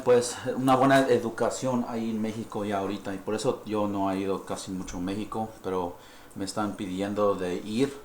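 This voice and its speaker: A man from California who grew up speaking English, presenting a live-stream dental lesson in halting Spanish that he apologizes for, mixing in English words. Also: low-pitched, slight background noise. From United States (California). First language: English (heritage Spanish speaker). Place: dental lab. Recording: echoey lab room, faint ambience.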